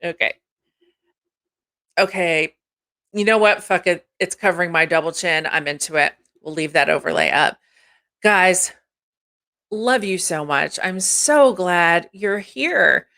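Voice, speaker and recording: Deep husky-voiced woman streamer. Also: a little slow, long pauses, bright, forceful tone. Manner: chatty, swears casually, thanks viewers by name. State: congested from allergies. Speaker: streamer host. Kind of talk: casual livestream chat.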